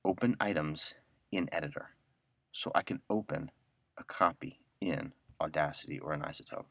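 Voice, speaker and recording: Very deep-voiced man teaching casually. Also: moderate pace, creaky, fairly bright tone, no echo. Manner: informal, thinking aloud. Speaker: audio software instructor. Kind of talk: casual screen-share tutorial.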